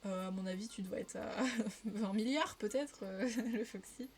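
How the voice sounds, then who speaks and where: A woman's voice: medium pitch, normal speed, a little mellow, soft background music. Chatty woman streaming while coloring. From Paris region, France. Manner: chatty, laughs often, answers chat. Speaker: live streamer. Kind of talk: casual live-stream chat while coloring.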